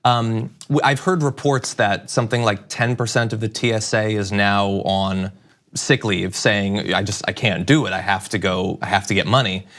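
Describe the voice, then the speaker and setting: A man's voice: fairly low pitch, fairly even intonation, moderate pace, a bit bright. A man hosting a talk show, asking conversational news interview questions in an engaged, wordy way, thinking aloud.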